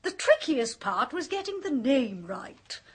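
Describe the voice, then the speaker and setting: A woman's voice: high voice, sing-song, a little slow, husky, full character voice. An actress playing a flamboyant, theatrical middle-aged woman who teases her sister and a visitor in a radio play. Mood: hammy. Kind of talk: 1970s radio drama acting.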